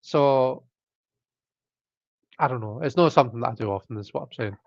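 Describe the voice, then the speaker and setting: A man's voice: medium pitch, moderate pace, gravelly, no echo, highs cut off. A man hosting a live stream, chatting casually and reading chat, rambling with many fillers and restarts while his throat keeps catching. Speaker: livestream host reading chat.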